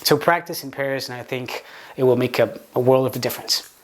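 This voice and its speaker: A man with a Portuguese accent explains English pronunciation straight to his viewers. His pitch sits mid-range for a man. He demonstrates words slowly and now and then restarts a phrase. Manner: explains with examples, slow deliberate demonstration words, some stammered restarts. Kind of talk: video lesson to camera.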